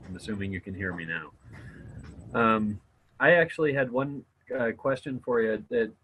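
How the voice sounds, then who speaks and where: A man joining a video call and asking a question in a measured, hesitant way. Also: fairly low pitch, fairly bright tone, slightly rough, poor audio. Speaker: participant. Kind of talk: casual video-call conversation.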